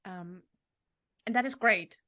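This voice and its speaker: A woman's voice: mid-range voice, takes it slowly, pushed and bright. A woman in her thirties, a podcast host being interviewed, talking frankly in a casual conversation, swearing now and then and laughing often. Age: 30s.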